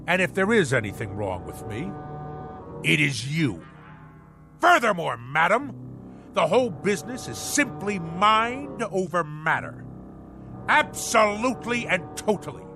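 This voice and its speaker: An actor in a radio drama playing a pompous, manic husband obsessed with the navy, declaiming in grandiose nautical bombast. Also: male voice, high-pitched, big pitch swings, a little slow, over music, heavily acted. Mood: blustering, defiant. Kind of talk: theatrical radio drama acting.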